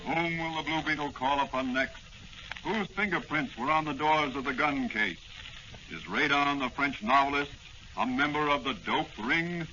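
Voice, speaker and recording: A man's voice: a little high, normal speed, highs cut off. A man narrating a scripted 1940s radio drama in an urgent, dramatic manner. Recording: band-limited old-time radio with faint noise.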